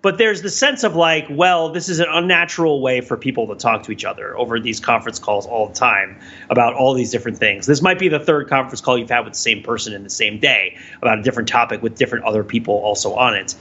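A man's voice: mid-range voice, fast, sharp tone, breathy edge, highs cut off. A man co-hosting a podcast in casual, analytical chat, thinking aloud in a discursive way. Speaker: podcast co-host.

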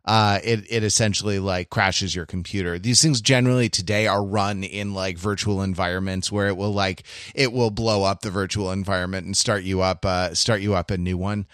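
A man chatting casually and analytically as a podcast panelist, in a halting, discursive way that circles back over phrases. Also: deep voice, steady tone, fairly bright tone, clean tone, no echo, clean studio sound.

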